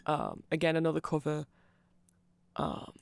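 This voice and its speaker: Creaky-voiced ASMR rambler, sex unclear. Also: medium pitch, huge pitch swings, measured pace, no echo. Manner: nerdy, self-deprecating, digressive. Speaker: ASMR creator explaining band lore. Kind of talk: casual rambling ASMR explainer.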